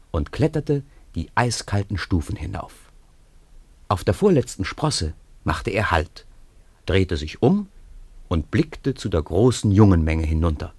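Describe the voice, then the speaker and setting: A man narrates a 1950s radio play with a very deep voice. His intonation rises and falls vividly. The recording is dry and close. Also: slightly rough, no room sound. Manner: expressive storytelling with lively intonation.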